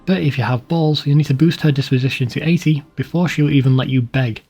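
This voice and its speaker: A man's voice: mid-range voice, fast, dark tone, breathy edge, faint music behind. A man reading a scripted video game walkthrough in a plain, informal, instructional way. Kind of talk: scripted guide narration.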